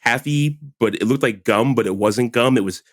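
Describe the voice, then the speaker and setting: A man's voice: medium pitch, moderate pace, dry recording, studio quality. A man in his forties co-hosting a podcast, chatting casually and laughing often, with lots of "like" fillers. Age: 40s. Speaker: podcast co-host. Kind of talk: casual chat.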